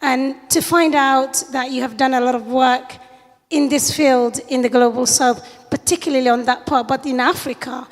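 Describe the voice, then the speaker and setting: A woman from Somalia who grew up in the UK, asking an earnest question from the audience at a conference, pausing often to search for words. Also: sits high, steady tone. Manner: earnest, searching, with fillers and pauses. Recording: long reverb of a lecture hall.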